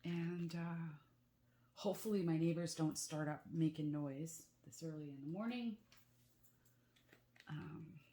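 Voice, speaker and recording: A woman chatting casually at home in a meandering, confiding way, her voice rough and congested from a cold. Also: low voice, unhurried, long pauses, warm tone, slight background noise. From Canada. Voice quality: rough, croaky. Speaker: craft vlogger. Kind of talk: casual chat while crafting. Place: at home with the back door open.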